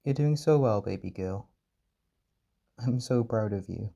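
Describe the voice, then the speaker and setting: A man performing a gentle, doting caretaker in an intimate comfort roleplay, speaking softly and reassuringly with tender pet names. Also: fairly low pitch, a little slow, vocal fry. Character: gentle, doting male caretaker soothing an overwhelmed partner.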